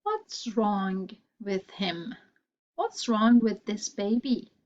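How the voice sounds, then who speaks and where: A woman gives an English vocabulary lesson at an extremely slow pace, repeating every phrase with care for learners. Her voice sits at a middle pitch. The recording sounds a little dull. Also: big pitch swings, darkish tone. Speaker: English teacher.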